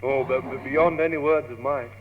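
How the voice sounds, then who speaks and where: An actor with a British accent playing a naive, lovestruck young gentleman in a radio comedy, stammering earnestly. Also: medium pitch, steady tone, moderate pace, natural voice. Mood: smitten. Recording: tinny, hissy, humming old radio tape, reverberant.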